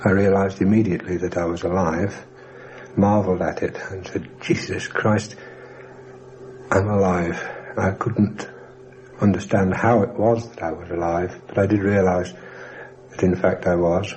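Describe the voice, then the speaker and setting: Male documentary interviewee, very deep and mellow, measured and factual recollection, hissy 1960s radio sound. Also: flat delivery, low-quality recording. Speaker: air crash survivor recounting his wartime experience.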